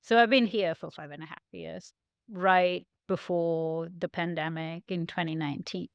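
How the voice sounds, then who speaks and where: Guest interviewee, woman from Sri Lanka, speaking reflectively in a smooth, dry-sounding voice. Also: lowish voice, steady tone, normal speed, no echo, dull audio. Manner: thoughtful, reflective, with frequent "like" fillers. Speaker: guest, studio founder. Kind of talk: casual interview talk. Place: her design studio.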